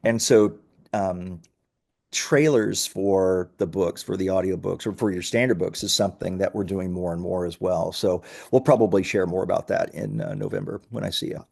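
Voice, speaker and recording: A man with a slightly low voice talks as a guest founder in a casual interview. He pitches informally and easily, with halting phrasing and friendly little asides. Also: creaky, a little mellow.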